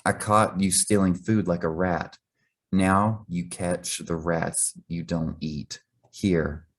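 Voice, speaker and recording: A man's voice: deep voice, fairly even intonation, slow, exaggerated voice. A man voicing a harsh, bullying male ship's cook in a children's storybook reading. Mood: threatening.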